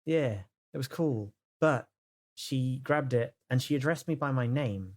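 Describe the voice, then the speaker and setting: A woman playing a tabletop role-playing game, improvising casual in-character chat with halting, questioning delivery. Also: extremely low pitch, darkish tone.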